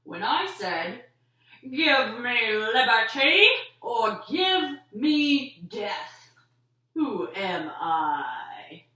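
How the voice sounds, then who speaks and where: A woman voicing a fiery male Revolutionary War orator for a children's puppet show, declaiming theatrically with drawn-out phrases. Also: a little high, big pitch swings, sharp tone, voice pushed up, full character voice. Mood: fiery, proclaiming. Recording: roomy echo, distant mic.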